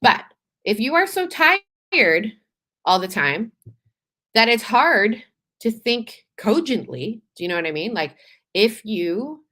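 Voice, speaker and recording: A woman from Canada hosting a live show, talking in a chatty, animated way with frequent laughs and restarts, her voice a little tired from days of talking. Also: a little high, slow, pauses often. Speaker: podcast host. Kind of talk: casual live-stream monologue.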